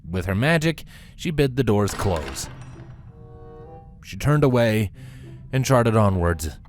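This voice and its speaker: A man narrating a scripted story in a dramatic, expressive storytelling manner. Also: on the low side, slow, soft background music.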